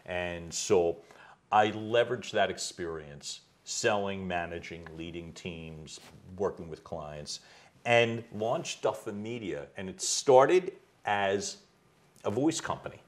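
Loud middle-aged guy, voice coach chatting at home, lowish voice, laughs a lot. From United States. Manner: loud, animated storyteller with quick laughs. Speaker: guest, executive voice coach. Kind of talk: conversational interview. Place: his home.

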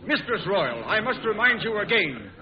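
An actor playing a stern, exasperated judge with a pompous, scolding manner in an old-time radio drama. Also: male voice, high voice, big pitch swings, moderate pace. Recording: muffled old radio recording, crowd murmur.

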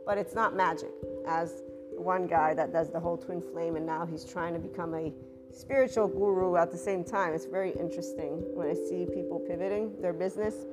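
A woman hosting a spiritual podcast, talking in a rambling, chatty way with asides; her voice is a little rough and deeper than usual today. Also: steady tone, normal speed, loud music behind the voice. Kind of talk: casual monologue.

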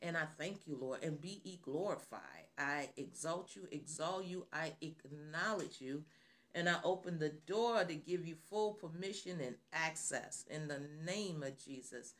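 A woman's voice: low voice, expressive, measured pace, a bit bright. A middle-aged woman preaching on the radio, opening with prayer and then asking rhetorical questions and repeating phrases.

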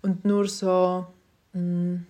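A woman's voice: on the low side, level tone, extremely slow, warm tone. A young woman hosting her own podcast, speaking German as a second language in a hesitant, reflective way with many fillers and restarts. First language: not German. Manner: self-reflective, encouraging. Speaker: podcast host. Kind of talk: casual personal monologue.

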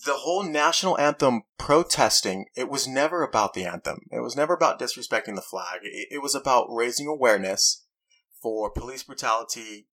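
A young man with a bright, forceful voice hosts a casual chat. He argues his side earnestly and stops to read quotes aloud. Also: mid-range voice, animated, a little fast. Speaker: podcast host.

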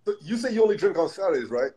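Brief: man from Jamaica, co-host, animated voice swinging up and down, teasing and playful. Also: sits high, very fast, husky. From Montego Bay, Jamaica. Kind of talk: casual chat.

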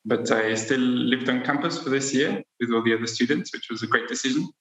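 A young man from Austria, a PhD student, introducing himself in a relaxed, straightforward way. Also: mid-range voice, brisk. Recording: echoey room.

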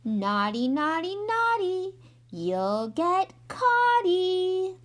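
A woman's voice: extremely high pitch, sing-song, extremely slow, pitched above the performer's own voice, full character voice. A woman voicing a gleeful, mischievous male poltergeist from a storybook, taunting in a teasing sing-song. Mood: mocking, teasing.

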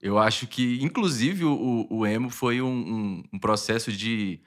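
A Brazilian man in his thirties, a guest musician, talking casually in a relaxed, rambling way with many fillers and laughs. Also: fairly low pitch, measured pace. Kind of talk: casual interview chat.

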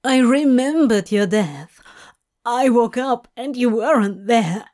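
Brash, anxious male demon, read by a woman, louder and rougher than the narration, unhurried. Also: a little high, expressive. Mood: emotional.

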